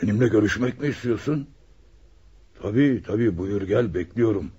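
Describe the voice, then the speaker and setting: A man's voice: fairly low pitch, moderate pace, mellow, husky. An actor playing a bullying, hot-tempered male gang boss in a radio play, taunting and giving orders. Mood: cold, commanding. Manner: taunting, commanding. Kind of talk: Turkish radio theater acting. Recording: dull lo-fi radio recording.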